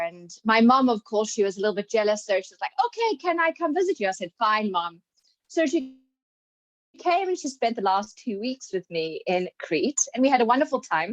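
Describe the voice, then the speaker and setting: A woman's voice: on the high side, no echo, lo-fi sound. A woman born in Zimbabwe and raised in South Africa, with a mixed South African and British accent, telling stories in a motivational talk with laughing asides. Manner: storytelling, animated, laughing asides. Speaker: speaker.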